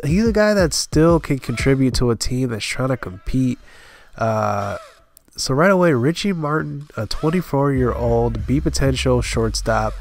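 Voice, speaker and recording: A man with a stuffy, slightly congested voice talks through his game at an easy, mid-pitched level. He thinks aloud as he goes, filling pauses with "uh", while soft music plays faintly underneath. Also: gravelly. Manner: thinking aloud, relaxed, many fillers. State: stuffy, recovering from a cold. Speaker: gaming channel host. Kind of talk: casual gameplay commentary.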